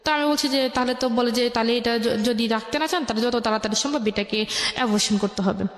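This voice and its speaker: A young adult woman with a Bangladeshi accent, telling her life story as an interview guest in a halting, rambling way. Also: a little high. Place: echoey indoor radio studio. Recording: echoey room with long reverb.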